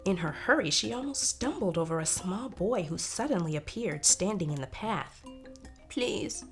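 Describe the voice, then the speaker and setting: A woman narrating a children's storybook in an expressive, careful way. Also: fairly low pitch, normal speed, faint music behind.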